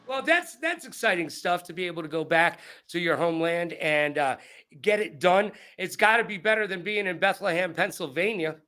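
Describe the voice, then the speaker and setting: Bright, high-pitched male sports interviewer. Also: animated, normal speed. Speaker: sports interview show host.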